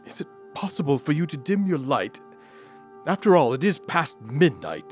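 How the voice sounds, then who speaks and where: A man's voice: a little high, big pitch swings, normal speed, soft background music. An actor playing a wary, grumbling old miser man in an audio drama. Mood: reluctant, grumbling.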